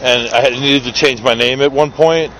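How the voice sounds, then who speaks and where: A man's voice: medium pitch, fairly even intonation, moderate pace, a bit bright, slight background noise, poor audio. A man with a New York accent, chatting casually and joking as he films a stranger in a city park. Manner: chatty, joking, curious questioner. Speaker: street videographer. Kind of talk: casual street conversation.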